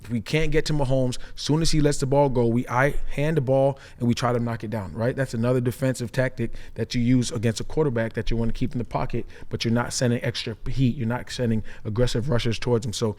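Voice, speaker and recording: A man's voice: mid-range voice, fairly even intonation, a little mellow. A man, a former pro safety, breaking down defensive play in casual sports talk, explaining step by step and tagging points with "right?". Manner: fast, explanatory, frequent "right?" tags.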